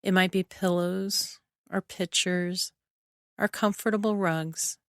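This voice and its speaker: Female guided imagery narrator: soothing, measured delivery, clean smooth tone, very unhurried, with long pauses. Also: lowish voice, steady tone, no room sound, clean studio sound. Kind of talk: guided relaxation meditation, scripted narration.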